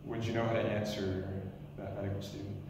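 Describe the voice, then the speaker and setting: A man in his thirties, an emergency doctor, giving a loud, energetic startup pitch in a lecture hall with frequent restarts. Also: a little fast, background noise. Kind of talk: pitch presentation. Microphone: stand mic, recorded from far camera. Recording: echoey lecture hall from far off.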